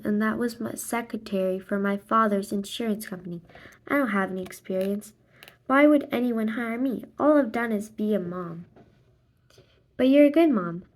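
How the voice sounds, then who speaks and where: A woman reading a children's graphic novel aloud expressively, acting out the dialogue and sound words in a deliberate way. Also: fairly high pitch. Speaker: read-aloud narrator.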